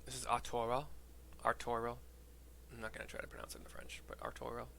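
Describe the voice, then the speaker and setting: A man streaming a game, casually describing cutscenes in a halting, piecemeal way, with game music behind him. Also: mid-range voice, sing-song, creaky, sharp tone, slight background noise. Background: game music and sound.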